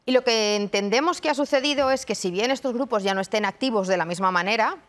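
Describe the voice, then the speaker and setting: A woman from Madrid, a university researcher and lecturer in her thirties, giving measured, reflective answers in a TV studio interview. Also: a little high, a bit bright. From Madrid, Spain. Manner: thoughtful, measured, frequent restarts. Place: television studio.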